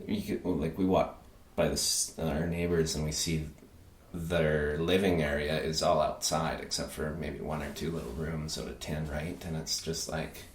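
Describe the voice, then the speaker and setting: Halting deep-voiced man, echoey room. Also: steady tone. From Peru. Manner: halting, restarts often, filler-heavy. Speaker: guest. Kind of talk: casual conversation. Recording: echoey room with faint noise.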